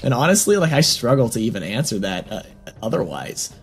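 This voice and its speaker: A man with a high-pitched voice, a guest in a casual interview, rambling enthusiastically with lots of filler words and a pitch that swings around as he talks. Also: sing-song, fairly quick. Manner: pitch fluctuates a lot as he talks. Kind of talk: casual conversation.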